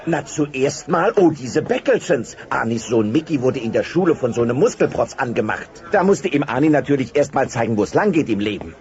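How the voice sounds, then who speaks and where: An actor playing a dopey, chummy dinosaur friend who talks hesitantly in a sitcom audio play. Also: male voice, mid-range voice, lively intonation, brisk, hardly any pauses, a little mellow, natural voice. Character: dopey, chummy dinosaur buddy hooked on television. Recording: dull old cassette transfer.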